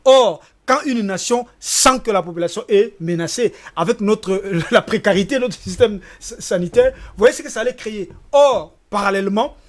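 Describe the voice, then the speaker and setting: A man's voice: sits high, moderate pace, few pauses. A man from Congo speaking as a panelist in a televised debate, stumbling over repeated words as he argues. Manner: hesitant, repeats words, animated.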